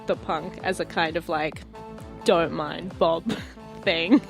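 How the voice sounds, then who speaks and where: A woman's voice: deep voice, steady tone, takes it slowly, vocal fry, soft background music. A woman playing in a tabletop roleplaying podcast, with casual, dry, wry banter and narration.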